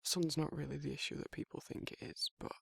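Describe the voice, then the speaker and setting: A man playing a shy, protective werewolf in a romantic ASMR roleplay, speaking haltingly and reassuringly in a gravelly, husky voice. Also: on the high side, fairly even intonation, no echo, studio quality, exaggerated voice. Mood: gentle concern. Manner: halting, awkward, softly reassuring. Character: shy, protective, tender young male werewolf in love. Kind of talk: romantic ASMR audio roleplay, intimate and conversational.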